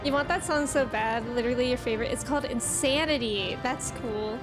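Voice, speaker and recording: A woman's voice: fairly high pitch, brisk, over music. A woman chatting casually and playfully as she livestreams a video game, reacting and greeting viewers.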